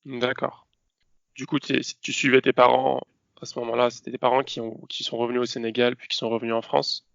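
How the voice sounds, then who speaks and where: A man's voice: medium pitch, level tone, brisk, gravelly, fairly bright tone, muffled recording. A man hosting a podcast, putting questions to his guest in a conversational way. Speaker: podcast host. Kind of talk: conversational interviewing.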